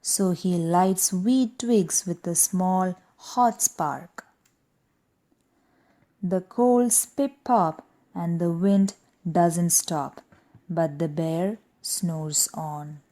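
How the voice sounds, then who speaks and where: A woman reading a children's rhyming storybook aloud in a deliberate, expressive, rhythmic way. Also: lowish voice. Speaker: storybook reader. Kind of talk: children's read-aloud narration.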